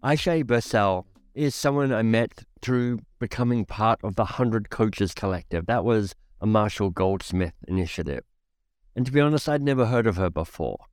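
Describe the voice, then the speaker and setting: Low-voiced podcast host. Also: male voice, clear, resonant tone, no room sound, clean studio sound. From Australia. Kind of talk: scripted podcast narration.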